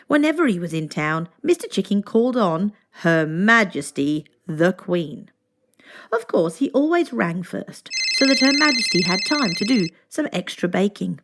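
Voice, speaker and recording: A woman reads a children's story aloud as narrator. Her intonation is very lively, and she phrases deliberately and expressively. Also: mid-range voice, heavy background noise.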